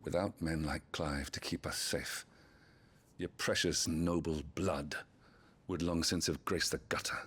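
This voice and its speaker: An actor playing a middle-aged archduke in a fantasy game, with a measured, regal, dry manner. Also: male voice, very deep voice, steady tone, a bit bright, breathy edge, heavily acted. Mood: weary, firm. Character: middle-aged archduke father, weary, firm, commanding. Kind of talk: cinematic fantasy game acting.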